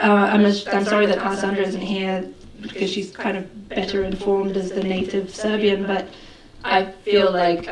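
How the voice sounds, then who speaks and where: A woman filmmaker answering questions at a festival Q&A, thoughtful and full of fillers and restarts. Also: medium pitch. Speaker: film director. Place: festival hall with audience. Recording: roomy hall, far from the mic, crowd noise.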